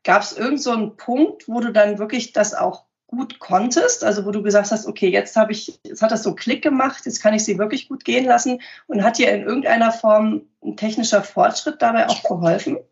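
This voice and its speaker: A woman hosting a podcast interview, hoarse from a cold, asking encouraging questions. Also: fairly high pitch, brisk, hardly any pauses. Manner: warm, encouraging questions with many affirming hums. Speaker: podcast host. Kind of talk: casual interview chat. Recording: dull lo-fi sound.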